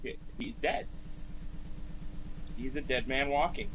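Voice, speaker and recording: A streamer giving casual live reaction commentary in an excitable, sweary, joking manner. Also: mid-range voice, takes it slowly, slight background noise, rough recording.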